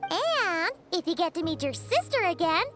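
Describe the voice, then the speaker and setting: Tiny squeaky game fairy girl, super high and bouncy, perky and chatty, music behind. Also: very animated, normal speed. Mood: excited. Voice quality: squeaky, childlike. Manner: perky, exclamatory, refers to self in third person. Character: tiny excitable female floating fairy companion, childlike, chatty. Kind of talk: English video game voice acting.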